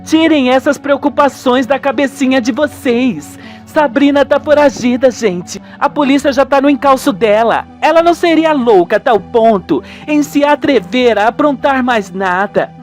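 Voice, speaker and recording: A man's voice: extremely high pitch, expressive, normal speed, hardly any pauses, soft background music, voice pushed up. A man voicing a caring young woman, a daughter in a radio soap, chatty and insistent. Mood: reassuring. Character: young woman, caring daughter, breezily reassuring her parents.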